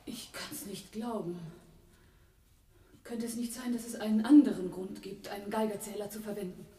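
An actress in a German radio drama playing a shrewd, sober woman in a crime crew, speaking deliberately. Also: medium pitch, steady tone, warm tone, roomy sound, recorded from a distance, a little hiss or hum, lightly acted. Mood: puzzled, alarmed.

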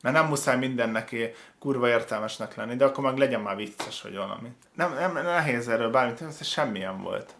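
A man hosts a casual reaction show with a medium-pitched voice. He comments with clear opinions in a halting flow, often stopping to rephrase himself. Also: a bit bright. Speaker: host of a video reaction show.

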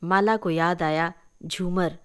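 A woman narrating a short story in an expressive storytelling style. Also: fairly low pitch, slow pace, clean tone. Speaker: story reader.

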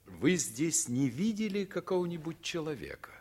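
An actor playing a probing, condescending gendarme questioning children in a 1950s radio drama. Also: male voice, fairly high pitch, sing-song, moderate pace, husky, full character voice. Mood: probing, patronising.

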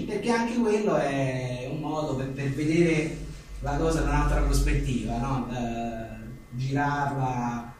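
A man's voice: mid-range voice, a little mellow. A man being interviewed as an author, telling informal, digressive anecdotes in a wry way. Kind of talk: informal book talk, rambling anecdotes. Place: echoing indoor venue at a live book presentation. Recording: very echoey room, distant mic, faint noise.

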